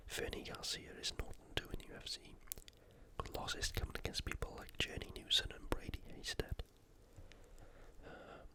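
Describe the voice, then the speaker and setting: A host whispering an informal, opinionated sports preview in ASMR style, all breath and no voice. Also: very high-pitched. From United Kingdom.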